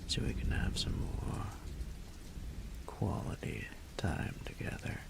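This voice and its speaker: A man playing a smug, teasing young bully in an intimate audio roleplay, with a breathy, whispery, gravelly voice and a slow, taunting drawl. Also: natural voice.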